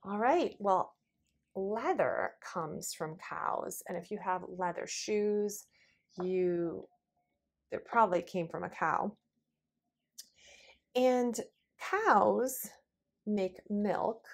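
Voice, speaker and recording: A woman's voice: mid-range voice, extremely slow, vocal fry, pauses often. A middle-aged woman, a preschool teacher, speaking in simple phrases and questions as in a children's lesson. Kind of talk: children's lesson and read-aloud.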